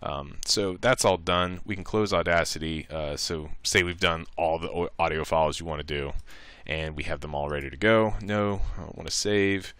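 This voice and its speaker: A man presenting a casual software tutorial, talking informally through each step and thinking aloud. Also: low voice, normal speed, few pauses, smooth, no echo.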